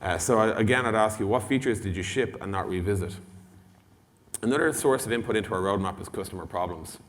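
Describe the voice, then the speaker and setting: A man's voice: fairly low pitch, fast, a bit bright. A man giving an informal product talk on a conference stage, casual and blunt, as an executive addressing a room. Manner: casual, blunt, mildly sweary, uses acted-out dialogue. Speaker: conference speaker, tech company executive. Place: large indoor conference hall. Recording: long hall reverb from conference stage.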